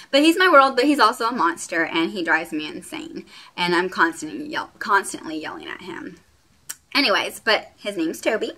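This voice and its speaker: A woman in her late twenties, born in Puerto Rico and raised in Texas, chats to the camera at home. Her voice is bright and crisp, sitting at a middle pitch. She rambles freely, stopping often on "um" and "what else.". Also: lively intonation, normal speed. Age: late 20s. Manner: chatty and rambling, with frequent fillers. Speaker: beauty vlogger. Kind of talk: casual get-to-know-me vlog.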